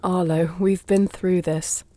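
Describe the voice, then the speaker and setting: A woman's voice: lowish voice, steady tone, slow, dark tone. A woman playing a wry, shrewd thief for hire in a fantasy audio drama, with a dry, teasing, understated delivery. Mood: probing. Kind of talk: fantasy audio roleplay acting.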